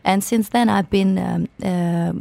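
A woman's voice: mid-range voice, steady tone, slow, creaky, mellow, no echo. A woman with a Ukrainian accent and a level, little-varied melody to her speech. She talks casually as an interview guest, recorded close and dry. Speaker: musician guest.

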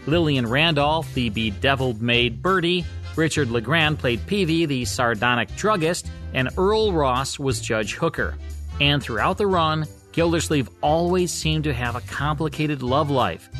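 A man's voice: medium pitch, expressive, moderate pace, a bit bright, soft background music. A man hosting a radio show, reading scripted narration.

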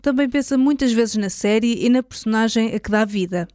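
A woman's voice: fairly high pitch, brisk, a little mellow, dry recording, studio quality. A woman with a European Portuguese accent reading scripted podcast narration as host.

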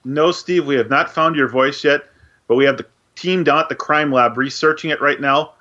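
Sports podcast co-host guy, dry jokes, reads news steady, muffled lo-fi mic. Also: on the high side, normal speed. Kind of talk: casual chat and news reading. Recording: dull lo-fi mic.

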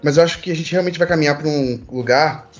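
A man's voice: a little high, fast talker, over music. A man with a Brazilian accent speaking casually in a panel discussion, thinking aloud in a discursive way and explaining through everyday examples. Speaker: panel participant.

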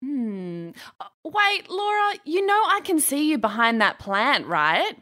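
A woman playing cheerful, encouraging characters in a children's audio story, in a very expressive manner. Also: high-pitched, a little slow, exaggerated voice. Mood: playful. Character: cheerful, expressive young girl and encouraging schoolteacher.

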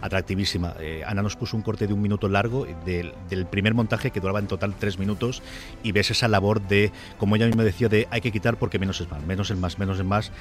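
A man's voice: lowish voice, fairly even intonation, fast talker, faint music behind. A man from chatting casually as a podcast panelist. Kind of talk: casual chat.

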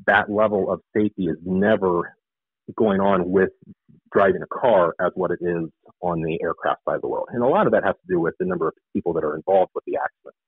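A man's voice: deep voice, fast, slightly rough, no echo. A man giving informal, explanatory answers as a guest in a radio interview, often rephrasing himself. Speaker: interview guest, author and air traffic controller. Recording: narrow phone-like line.

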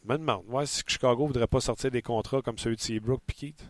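A man's voice: medium pitch, steady tone, fast talker, no room sound. A man, pressing his co-hosts with pointed, teasing questions about hockey trades. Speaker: hockey panelist. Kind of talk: casual sports debate.

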